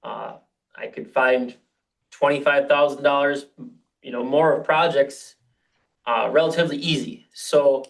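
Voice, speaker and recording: A man making informal remarks as a local government staff member at a committee meeting. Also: mid-range voice. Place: council meeting room.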